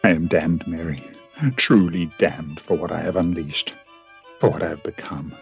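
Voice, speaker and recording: A man playing a despairing, remorseful Victorian gentleman doctor in a solemn radio play monologue. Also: deep voice, steady tone, vocal fry, highs cut off, soft background music. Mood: anguished, remorseful. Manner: solemn, halting.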